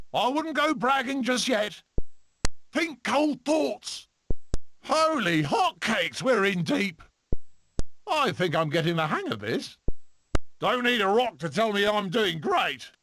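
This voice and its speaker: A man voicing a cocky, excitable furry adventurer animal in a video game, with a theatrical, exclamatory delivery. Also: very high voice, slight background noise, exaggerated voice. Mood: frantic alarm.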